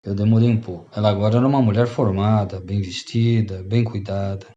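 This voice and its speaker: A man acts out a reformed Brazilian freeloader confessing to old friends in a radio play. His voice is dark and mellow. The recording is slightly dull and lo-fi. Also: lowish voice, steady tone, a little fast, lightly acted. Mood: confessional. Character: repentant rogue and former freeloader confessing to friends. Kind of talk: radio drama storytelling.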